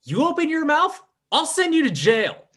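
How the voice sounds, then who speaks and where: An actor playing a scheming, defiant fake psychic in a live old-time radio drama, with a theatrical, formal delivery. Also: male voice, very high voice, wildly swooping pitch, normal speed, bright, forceful tone, exaggerated voice. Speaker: stage actor in radio play.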